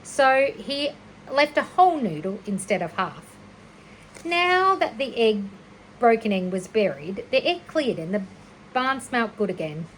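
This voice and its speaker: A woman reading a children's story aloud like a teacher, expressive and a little unpolished, with small stumbles and self-corrections. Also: a little high, measured pace, a little hiss or hum, poor audio. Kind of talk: children's storybook read-aloud, narration with character voices.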